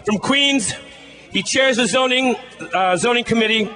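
Male MC for a protest stage, high and bright, introducing speakers. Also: measured pace, faint music behind. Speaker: rally emcee. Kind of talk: rally announcing. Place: outdoor rally stage. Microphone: stand microphone.

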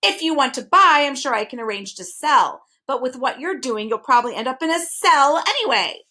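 A woman's voice: sits high, lively intonation, fairly quick, bright, forceful tone, voice pushed up, full character voice. A woman voicing a filthy, malicious, haughty male bird who cackles and shrieks in a storybook. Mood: sly. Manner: cackling, shrieking, twisting others' words.

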